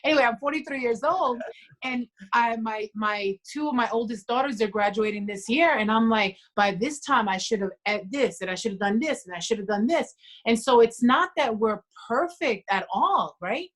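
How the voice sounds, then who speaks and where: A woman in her forties, a podcast guest, talking earnestly and reflectively with quiet conviction. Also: on the high side, normal speed. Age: 40s. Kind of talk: casual conversation.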